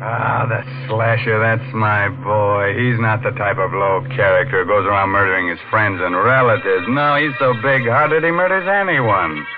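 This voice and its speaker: Male actor as ghoulish horror host, mock-sweet and sardonic, low voice, narrow vintage radio sound over music. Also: moderate pace, hardly any pauses, natural voice. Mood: gleefully macabre. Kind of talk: 1940s radio horror hosting, comic banter. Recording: band-limited vintage radio, music behind.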